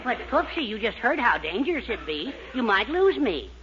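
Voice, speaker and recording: A man playing a timid, melodramatic high school boy with a plaintive manner in a 1940s radio comedy. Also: very animated, moderate pace, bright, forceful tone, some chatter behind, exaggerated voice. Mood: plaintive, noble. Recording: noisy, muffled 1940s radio recording.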